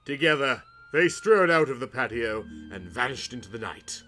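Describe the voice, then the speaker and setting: A man's voice: medium pitch, big pitch swings, normal speed, pushed and bright. A man narrating a comic story in a dramatic, mock-serious, melodramatic way.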